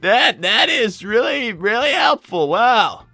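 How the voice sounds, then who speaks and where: A man's voice: very high-pitched, very animated, takes it slowly, bright, forceful tone, no room sound, soft background music, full character voice. A man voicing an anxious, easily flustered young cartoon character who stammers and repeats protests in improvised livestream banter. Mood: flustered, exasperated.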